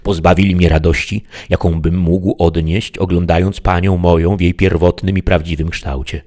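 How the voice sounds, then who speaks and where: A man's voice: sits low, flat delivery, normal speed, a little mellow. A man voicing a solemn male knight who laments in grand, mournful speech in a storybook reading. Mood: lamenting.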